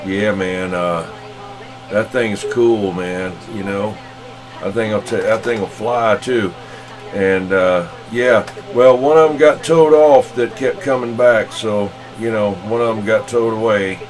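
A man's voice: lowish voice, takes it slowly, soft background music, poor audio. A man chatting casually as a CB radio operator, folksy and slangy in his talk. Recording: CB radio session.

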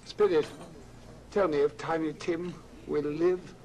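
An actor playing a humbled, anxious old miser, earnest and subdued, in an old radio drama. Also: male voice, fairly high pitch, steady tone, takes it slowly, rough recording. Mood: humble, pleading. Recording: old record, loud music behind.

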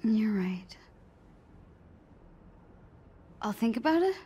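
A woman's voice: fairly high pitch, sing-song, moderate pace, warm tone, heavily acted. A young woman playing an eager, restless American teenage girl who mutters to herself in a video game. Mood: reluctant. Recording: clear ambient noise.

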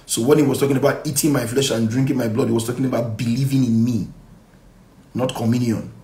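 A middle-aged Nigerian man leading a live Bible study as pastor, reading verses and explaining them emphatically and deliberately, with repeated phrases and rhetorical questions. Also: medium pitch, fairly even intonation, warm tone. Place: small indoor room. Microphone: phone at arm's length. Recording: echoey room, faint background noise.

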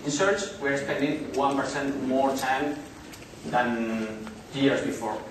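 A man in his thirties speaks English with a Spanish accent, giving a conference talk in a halting way, full of "uh" and restarts. He is heard from far back in a large, echoey hotel hall, with clear room noise behind him. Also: mid-range voice. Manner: halting, many fillers and restarts. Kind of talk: business presentation. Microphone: far camera in the audience.

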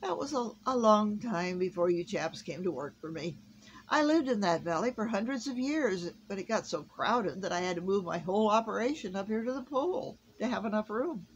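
A woman's voice: medium pitch, animated, normal speed, dull audio, slight background noise, close to an everyday voice. A woman voicing a jolly old man in a storybook, hearty and commanding, with exclamatory delivery. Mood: confident.

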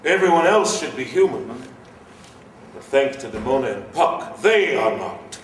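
In an echoey hall, a man plays a noble warrior chief for a radio play. He speaks slowly and with wide swings of tone, grave and stately. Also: medium pitch, big pitch swings. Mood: resolute. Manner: solemn, measured, stately. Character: noble, grave male gargoyle leader turned human, solemn warrior. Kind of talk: staged radio play acting. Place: live convention stage reading. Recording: echoey hall, distant mic, faint noise.

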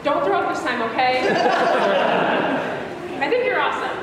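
A woman acts out a lovestruck young puppet man, high-voiced and awkwardly earnest. He speaks haltingly in a live puppet show, heard from far off in a big, loud, crowded hall. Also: animated, a loud crowd, very noisy. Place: large event hall at a wedding reception.

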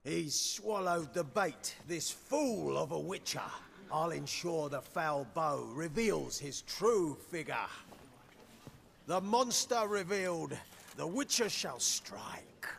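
A man's voice: on the high side, expressive, takes it slowly, gravelly, fairly bright tone, a little hiss or hum, full character voice. A man playing a scheming villainous page in a stage play, declaiming theatrically in rhymed verse.

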